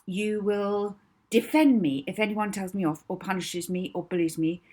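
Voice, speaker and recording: A woman voicing a young girl hypnotist in an audiobook, speaking in a deliberate, coaxing and commanding way. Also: medium pitch, a little mellow, lightly acted. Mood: firm, commanding. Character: young orphan girl hypnotist, soothing, bold, bossy. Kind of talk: audiobook character voice.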